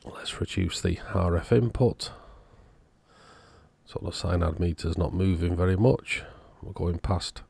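Casual male repair-video host, very low register, husky with plenty of fry. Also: unhurried, a little mellow, no echo. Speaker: host of an electronics repair channel. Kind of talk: casual repair walkthrough narration.

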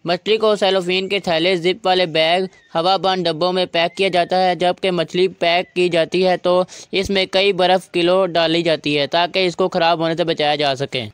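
A young man from Pakistan with a high, smooth and resonant voice. He narrates a vlog in a steady, formal way, recorded close and dry with no room sound. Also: normal speed, few pauses, no echo. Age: young adult. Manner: formal, reads facts in steady Urdu. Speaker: vlog host.

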